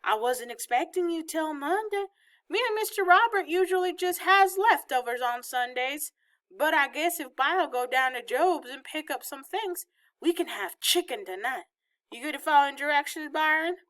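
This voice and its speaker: A woman's voice: sits high, animated, moderate pace, voice pushed up. A woman voicing a feisty, bossy, affectionate old Southern grandmother in a storybook reading, with a folksy, exclaiming manner. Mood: brisk, bossy. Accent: Southern American. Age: elderly. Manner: folksy, scolding, loudly exclaiming.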